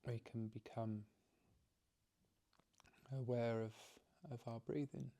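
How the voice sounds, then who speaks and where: A man leading a guided meditation as its teacher, speaking calmly and gently, haltingly, with frequent pauses and repeated phrases. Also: mid-range voice, gravelly. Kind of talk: guided meditation, softly instructive.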